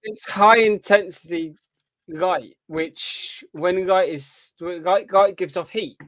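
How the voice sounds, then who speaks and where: A young British man chatting casually as a podcast co-host, explaining things earnestly in a halting way. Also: sits high, fairly bright tone, no echo, low-quality recording. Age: young adult. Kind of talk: casual chat.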